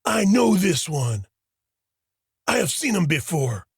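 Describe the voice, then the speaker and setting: A pompous, furious monster warlord for a cartoon-style comic dub. He bellows his commands slowly in a high-pitched, wildly swooping voice. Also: big pitch swings, vocal fry, background noise, full character voice. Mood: imperious fury. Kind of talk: comic dub villain acting.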